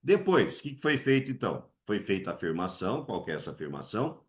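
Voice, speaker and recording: A Brazilian man teaches an exam writing lesson in a deep voice that rises and falls a lot. He keeps asking the class questions and answering them himself. He often ends sentences with a quick "right?" tag. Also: sing-song. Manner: swings his pitch high to act out voices. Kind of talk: lively exam-prep essay lesson.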